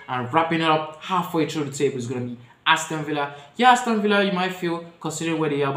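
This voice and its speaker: A man chats through his opinions in a casual vlog and talks straight to his viewers. His voice sits a little higher than most men's. The sound bounces around an echoey room. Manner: chatty, addresses viewers directly, shifts pitch and loudness often. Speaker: football vlogger.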